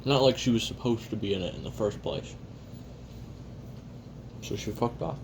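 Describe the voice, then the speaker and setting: A low-voiced, gravelly male assassin played by a man confides his memoirs to a recorder. He is weary and sardonic, halting between phrases and swearing casually as he eats. Faint background noise sits under the audio drama monologue. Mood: blunt, irritated. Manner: halting, sardonic, casually profane, talking while eating. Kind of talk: first-person confessional audio roleplay monologue.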